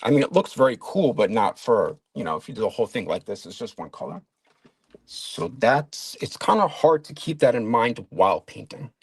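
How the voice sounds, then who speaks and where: A man's voice: mid-range voice, sing-song, moderate pace, husky, no echo. A man from Long Island with a New York accent, chatting casually and rambling while painting miniatures on a stream. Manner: rambling, lots of fillers and self-corrections. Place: home studio at a hobby desk.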